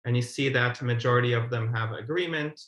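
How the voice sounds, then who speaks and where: A man's voice: mid-range voice, fairly quick, a bit bright. Man presenting online in an echoey room. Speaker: webinar presenter. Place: indoors on a video call. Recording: roomy, echoey video call.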